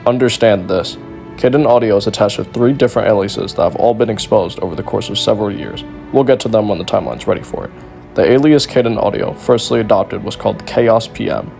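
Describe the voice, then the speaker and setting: A man's voice: fairly low pitch, fairly quick, creaky, darkish tone, faint music behind. A man delivering scripted narration in the style of an exposé documentary. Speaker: video narrator.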